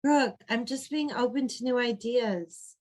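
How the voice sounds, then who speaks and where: A woman's voice: on the high side, unhurried. A woman playing a perky, earnest young homebody in a comedic play reading, with emphatic girlish slang. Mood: earnest, content.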